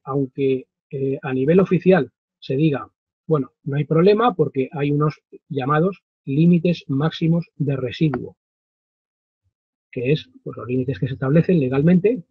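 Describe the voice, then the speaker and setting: Guy in his 50s from spain, bit high raspy voice, explaining stuff slowly and emphatically with lots of pauses, webcam sound. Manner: deliberate, emphatic, with frequent pauses. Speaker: webinar presenter. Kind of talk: explanatory lecture, semi-improvised. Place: small wood-panelled home study, indoors. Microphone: computer webcam microphone at desk distance. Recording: dull, roomy webcam audio.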